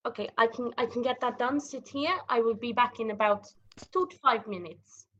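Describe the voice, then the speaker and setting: A woman running a tabletop game as game master, narrating casually in halting, improvised, informal descriptions. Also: a little high, a bit bright. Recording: dull lo-fi audio.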